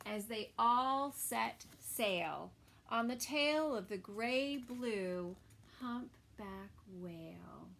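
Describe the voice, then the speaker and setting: Slow, very animated woman reading to toddlers. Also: a little high, creaky, a little hiss or hum. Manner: dramatic, with hushed asides. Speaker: children's story time reader. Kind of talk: expressive picture-book read-aloud for toddlers.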